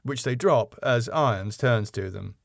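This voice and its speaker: A man's voice: medium pitch, measured pace, creaky, no echo, clean studio sound. A man reading out scene headings and stage directions as scripted narration. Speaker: writer and narrator.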